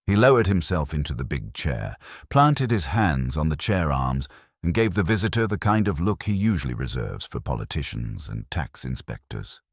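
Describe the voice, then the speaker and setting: An extremely low-voiced man narrates detective fiction with a husky grain. His delivery is wry and dramatic, rising and falling in lively swings, recorded dry and close. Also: no room sound. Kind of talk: scripted first-person detective story narration.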